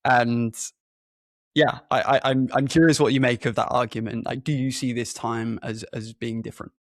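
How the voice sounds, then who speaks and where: Creaky-voiced male interviewer, halting delivery. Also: medium pitch, steady tone, dry recording, clean studio sound. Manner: tentative, halting question phrasing. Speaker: podcast host, interviewer. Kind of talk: conversational interviewing. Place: remote video call.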